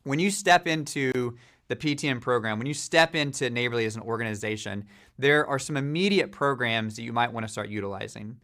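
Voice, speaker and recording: A man giving a steady, businesslike corporate explainer about a supplier program, with frequent fillers. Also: medium pitch, a little fast. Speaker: company leader presenting a supplier program.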